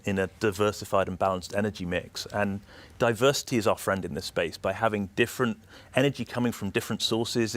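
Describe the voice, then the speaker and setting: A man with a British accent giving measured, explanatory answers as an executive being interviewed. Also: on the low side, no echo. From United Kingdom. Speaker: energy system operator executive, interview guest.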